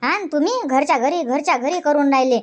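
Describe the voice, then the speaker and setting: A high-pitched village farmwife acted by a woman for a comedy cartoon. She speaks rustic Varhadi Marathi, chattering and nagging in a bossy way. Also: a little fast, lightly acted. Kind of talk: comic cartoon dialogue dubbing.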